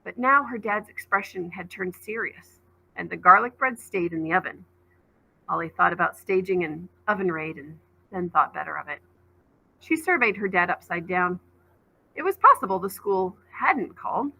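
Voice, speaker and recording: A woman reading a children's novel aloud as a teacher, in expressive storybook narration. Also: on the low side, normal speed, vocal fry, slightly rough, rough recording.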